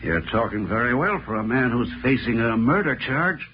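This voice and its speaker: Male actor as curt American town boss, medium-pitched, authoritative and distrustful, vintage radio drama with muffled, slightly noisy sound. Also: expressive, moderate pace, natural voice. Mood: guarded, suspicious. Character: stern, suspicious small-town mayor interrogating a suspect. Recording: dull, noisy old radio recording.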